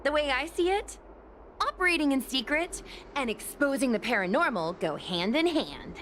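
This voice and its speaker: Bubbly, high-pitched game girl. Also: lively intonation, normal speed, fairly bright tone, a little hiss or hum. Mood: enthusiastic pitching. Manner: chatty, persuasive, confident. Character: bubbly, enterprising young ghost-hunting girl, eager for followers. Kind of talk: animated video game voice acting.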